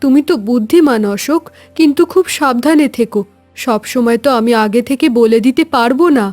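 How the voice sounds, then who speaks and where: Wise magical owl, played by a woman: high female voice, gentle, protective, reassuring, music underneath. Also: a little fast, natural voice. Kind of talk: Bengali animated story voice acting.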